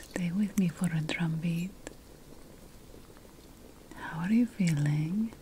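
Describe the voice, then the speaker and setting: A woman playing a calm, ceremonial ancient witch priestess in an ASMR roleplay, mostly whispering in a breathy, soothing and deliberate way. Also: lowish voice, a little hiss or hum. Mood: gentle, attentive. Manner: slow, soothing, deliberate, repeating phrases.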